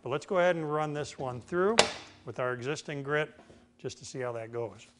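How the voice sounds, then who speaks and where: A man's voice: mid-range voice, normal speed, heavy background noise. Middle-aged American man teaching, folksy. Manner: informal, folksy explaining. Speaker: woodworking instructor. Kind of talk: instructional demonstration to camera. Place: indoor woodworking shop.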